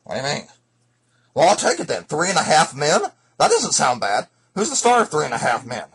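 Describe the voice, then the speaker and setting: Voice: medium pitch, huge pitch swings, brisk, a bit bright, breathy edge, exaggerated voice. A performer playing an exasperated male showbiz actor griping on the phone in an amateur comedy skit. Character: fed-up male show-business performer phoning his agent. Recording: noisy home recording with clicks.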